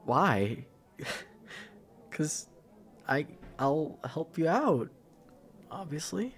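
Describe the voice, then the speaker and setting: A young man playing a caring, teasing, shy best friend in an intimate ASMR roleplay, speaking softly and hesitantly. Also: fairly high pitch, sing-song, very slow, exaggerated voice. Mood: gently reassuring. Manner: soft, hesitant, stammering, breathy sighs and chuckles.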